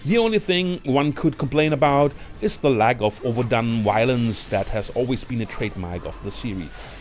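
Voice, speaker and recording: A man's voice: medium pitch, animated, moderate pace. A man presenting a scripted film review as a ranked list, in the manner of a video narrator. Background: music.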